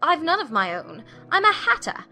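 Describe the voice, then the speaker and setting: A woman's voice: sits high, big pitch swings, moderate pace, bright, forceful tone, faint music behind, full character voice. A woman voicing a nervous, eccentric hatter in a storybook, pleading in a trembling voice.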